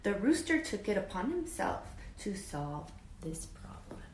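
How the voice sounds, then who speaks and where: Animated woman reading a storybook, roomy sound. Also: mid-range voice, unhurried, whispered. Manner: expressive, with dramatic emphasis and hushed asides. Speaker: teacher reading a picture book aloud. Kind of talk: animated children's read-aloud with questions to listeners. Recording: roomy echo with faint background noise.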